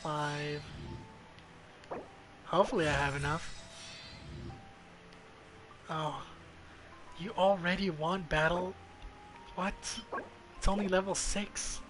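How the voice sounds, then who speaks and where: Higher-voiced man muttering casual game commentary. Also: fairly even intonation, slow, long pauses, over music. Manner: profane, muttering to himself. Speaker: video game streamer.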